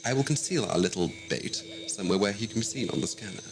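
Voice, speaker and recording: A man playing a smug, condescending alien schemer in an audio drama, measured and theatrical. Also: lowish voice, animated, over music. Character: scheming, suave, condescending male renegade Time Lord villain.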